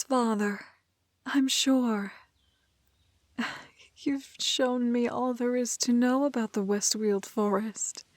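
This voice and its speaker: A woman playing a cheerful, gentle young woman who gathers plants in a fantasy audio roleplay, often murmuring airily to herself. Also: on the high side, no room sound. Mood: playfully assured.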